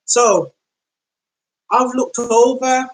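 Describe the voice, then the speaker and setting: A woman podcast host with a London accent talks in a loose, slangy, conversational way. She tells her story as a casual monologue. When she quotes someone, she slows down and speaks with deliberate weight. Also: a little high, fairly even intonation, poor audio. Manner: conversational, slangy, acts out quoted speech in slow, deliberate tones.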